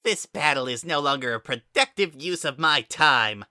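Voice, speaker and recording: A man's voice: on the high side, animated, normal speed, sharp tone, no room sound, clean studio sound, pitched above the performer's own voice. A man voicing a menacing young demonic villain with a theatrical, sneering and coldly formal manner in a storybook reading. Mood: cold, forceful.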